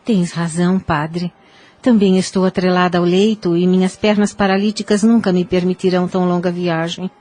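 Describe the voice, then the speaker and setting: A woman playing a devout, sorrowful mother who pleads gently and formally in an audio novel. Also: on the low side, steady tone, normal speed, dry recording, close to an everyday voice. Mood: sad, resigned. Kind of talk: religious audio novel acting.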